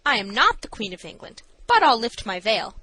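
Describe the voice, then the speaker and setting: A woman's voice: fairly high pitch, big pitch swings, normal speed, pushed and bright, slightly rough, full character voice. A woman voicing a stately, stubborn young woman feigning madness in an audiobook, haughty and insistent. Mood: stately, indignant.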